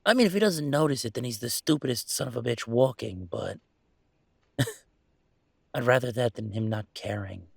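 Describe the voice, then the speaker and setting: A man playing a troubled young male werewolf in an intimate audio roleplay, confiding in a halting, sardonic, self-deprecating way. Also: medium pitch, lively intonation, gravelly, slightly rough, dry recording, studio quality. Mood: hurt, indignant.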